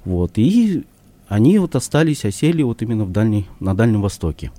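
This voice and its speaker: Male radio guest, low mellow voice, thoughtful and hesitant with frequent pauses, studio mic. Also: big pitch swings, no room sound. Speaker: studio guest, ensemble artistic director. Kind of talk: live radio interview answers. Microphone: studio microphone.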